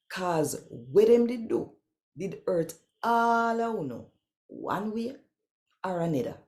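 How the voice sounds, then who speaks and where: A woman with a Jamaican accent, reading scripture and addressing viewers in an expressive, conversational and emphatic way. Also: low-pitched.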